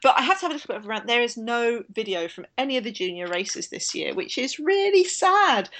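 A woman's voice: on the high side, very animated, fairly quick, a bit bright. A woman co-hosting a casual podcast, talking in a chatty, digressive way. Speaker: podcast co-host. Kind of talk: casual chat podcast about women's cycling.

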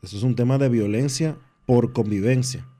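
A man, co-hosting a radio sports show from the booth and giving his opinion in an earnest, measured way with hesitant fillers. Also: medium pitch, mellow. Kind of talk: radio commentary.

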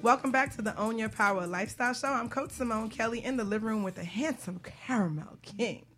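A woman's voice: fairly high pitch, fast, a bit bright, faint music behind. A woman hosting a casual panel talk show in a playful, teasing manner.

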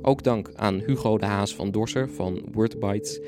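Male podcast presenter for scripted closing announcements, fairly low voice, guitar music clearly behind. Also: measured pace. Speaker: podcast presenter reading credits.

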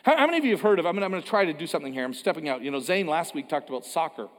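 A pastor from Canada giving a sermon in a conversational way, with joking asides and frequent restarts. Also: male voice, fairly high pitch, animated, very fast. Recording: long hall reverb.

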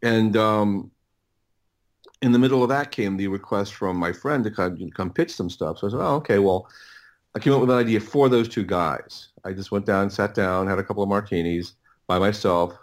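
Low-voiced, halting middle-aged man telling stories. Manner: halting, rambling, repeats words as he thinks. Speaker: podcast guest, audio producer. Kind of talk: casual interview storytelling.

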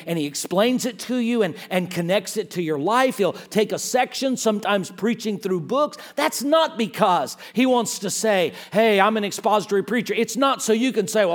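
A man preaching a sermon as a guest speaker. He stresses his points, repeats phrases and restarts them, and moves between quiet, slow stretches and loud, quick ones. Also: high voice.

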